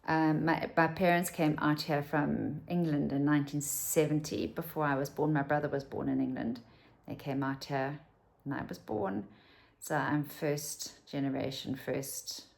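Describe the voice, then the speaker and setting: A South African woman in her fifties talks to camera at home in a low voice with a gravelly fry. She rambles candidly and drops to a whisper for asides. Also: a little mellow. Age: 50s. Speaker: vlogger. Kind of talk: casual talk to camera.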